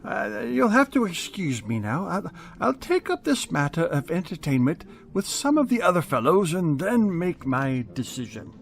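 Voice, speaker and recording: An actor playing a grouchy, pompous school principal in a comedic radio play, with a blustering manner. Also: male voice, high-pitched, very animated, normal speed, creaky, hardly any pauses, a little hiss or hum, heavily acted. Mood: flustered. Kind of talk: comedic old-time radio play acting.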